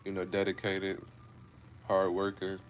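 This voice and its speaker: Low-voiced guy in his 20s doing a chill fitness livestream, keeps saying bro and man, pretty monotone. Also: unhurried, slight background noise. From Ohio. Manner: laid-back, repetitive, calls viewers bro and man. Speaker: fitness creator hosting a livestream. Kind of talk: casual livestream chat with viewers.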